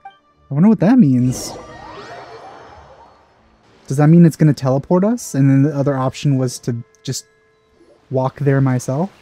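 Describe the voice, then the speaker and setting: A man's voice: mid-range voice, a little slow, long pauses, dark tone, no echo. A man giving casual let's play commentary as a gaming host, musing and hesitant. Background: game music.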